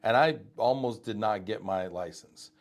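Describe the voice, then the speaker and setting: A man from Texas hosting a live interview, talking in quick conversational bursts with many asides and fillers. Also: on the low side. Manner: talks fast with many asides and fillers, speaks to viewers directly. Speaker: host of a live show.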